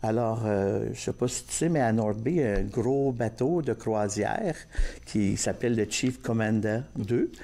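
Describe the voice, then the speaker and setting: A man from Northern Ontario, a guest on a TV talk show describing group trips in a halting way with many fillers. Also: on the low side, mellow. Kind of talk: casual TV talk.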